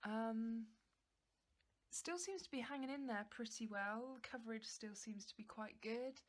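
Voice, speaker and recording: A woman's voice: on the high side, a little slow. A woman vlogger giving a casual, chatty and understated makeup review to the camera in an informal way.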